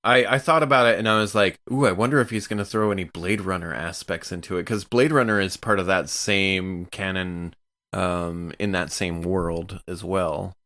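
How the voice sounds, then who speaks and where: Lower-voiced man chatting on a podcast. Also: steady tone, normal speed, pushed and bright. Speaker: podcast cast member. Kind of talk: casual chat.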